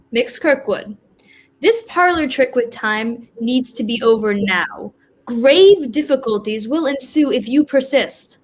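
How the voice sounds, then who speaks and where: Actress as female grim reaper, prim, businesslike, easily affronted, fairly high voice, clipped formal speech, stage play. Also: lively intonation, takes it slowly. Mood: stern, formal. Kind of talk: virtual staged play reading.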